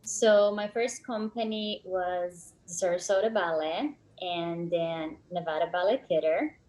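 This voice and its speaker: A woman's voice: fairly low pitch, unhurried, warm tone. A young woman from Brazil, a professional ballet dancer, answering questions in a friendly way. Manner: friendly, laughs easily. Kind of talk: casual interview answers.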